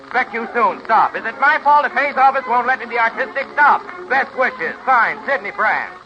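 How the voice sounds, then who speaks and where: An actor playing a bossy, scatterbrained movie mogul, pompous and blustering in 1930s radio comedy style. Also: male voice, extremely high pitch, animated, normal speed. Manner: pompous, blustering, barking orders. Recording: dull 1930s radio recording with music.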